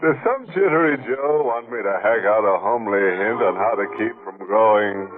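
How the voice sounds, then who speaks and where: A man's voice: lowish voice, normal speed, narrow, tinny audio, lightly acted. Man acting a ghoulish, mocking old-radio horror host. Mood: gleefully macabre. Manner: macabre, punning, theatrical, chuckling. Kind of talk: 1940s radio horror hosting, tongue-in-cheek. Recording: noisy, band-limited 1940s radio recording.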